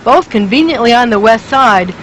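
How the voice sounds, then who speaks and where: A woman's voice: a little high, expressive, moderate pace. Woman doing a punchy tv news investigation voiceover, bit of noise on the tape. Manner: emphatic, dramatic delivery with rhetorical questions. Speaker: television news reporter. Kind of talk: scripted investigative news report.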